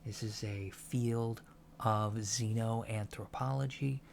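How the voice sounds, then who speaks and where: A soft-spoken man giving a deadpan, matter-of-fact ASMR roleplay lecture as a college teacher. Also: lowish voice, takes it slowly, creaky, a little hiss or hum. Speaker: ASMR performer playing an alien college lecturer.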